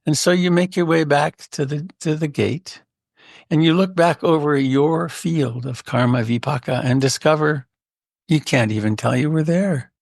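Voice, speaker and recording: A man leading a guided meditation in a gentle, unhurried way with long pauses and a creaky voice. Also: a little high, no echo, studio quality. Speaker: meditation teacher.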